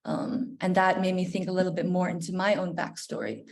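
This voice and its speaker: A woman's voice: on the low side, lively intonation, a little fast, vocal fry. A woman in her twenties from Malaysia giving an informal, reflective presentation over a video call. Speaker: guest presenter, researcher. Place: living room at home, indoors. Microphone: video call, laptop.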